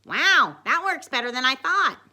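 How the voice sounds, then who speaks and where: A stubborn, eccentric schoolboy from a children's story, acted by a woman. The voice is bright and pitched up. He answers bluntly and matter-of-factly. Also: sing-song, measured pace, full character voice. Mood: pleased.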